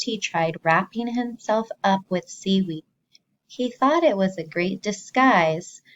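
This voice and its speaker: A woman teacher reading a story to young children, expressively, pausing to explain simply and ask the listeners questions. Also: medium pitch, dull audio, slight background noise.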